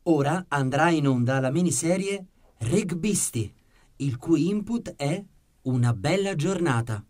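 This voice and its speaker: A man with a slightly high voice presents as a radio show host. His delivery is that of a scripted announcer addressing listeners. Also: expressive, measured pace, a little mellow.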